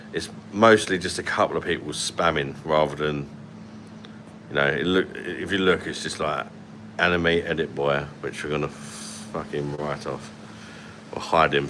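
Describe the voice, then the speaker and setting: A man's voice: a bass voice, bright, forceful tone, a little hiss or hum. A man in his thirties with a London accent, hosting a casual livestream from a hotel room at night on a phone held at arm's length, blunt and sweary as he reads out comments. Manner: blunt and sweary, laughs often. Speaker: livestream host. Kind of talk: casual live chat reading comments.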